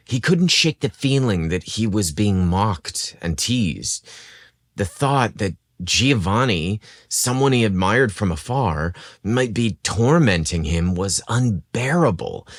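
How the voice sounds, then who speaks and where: A man reading a scripted romance story as a podcast narrator, in a dramatic, expressive storytelling manner. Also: on the low side, no room sound, studio quality.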